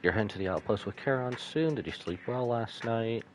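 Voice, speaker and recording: A man's voice: mid-range voice, animated, a little fast. A man on a live game stream, reading dialogue aloud and adding his own casual comments. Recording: music behind the voice.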